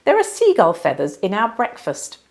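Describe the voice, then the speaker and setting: A woman's voice: mid-range voice, wildly swooping pitch, moderate pace, voice pushed up. A woman voicing a fussy, polite queen and mother in a children's storybook, full of exclamation. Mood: politely pleading.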